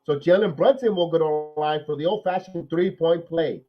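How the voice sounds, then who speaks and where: A man hosting a live watch-along podcast, nasal from a cold, calling plays excitedly and then talking analysis with many repeats. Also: fairly high pitch, a little fast, lo-fi sound. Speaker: podcast host.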